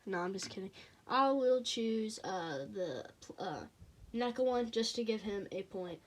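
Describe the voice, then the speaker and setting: A teenager with a childlike voice, reviewing toy figures in a casual, hesitant way with frequent pauses and fillers. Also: lively intonation, a little hiss or hum. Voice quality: childlike, high voice.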